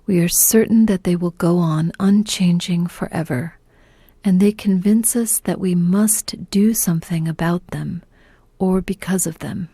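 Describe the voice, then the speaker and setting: Slow calm lady doing guided meditation, soft breathy half whisper, a bit low, gentle and unhurried. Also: no room sound. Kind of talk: guided meditation, scripted narration.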